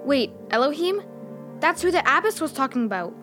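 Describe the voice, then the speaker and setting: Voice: high voice, very animated, fairly quick, music in the background. A female performer playing a curious, candid child adventurer in a children's audio drama. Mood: surprised, curious.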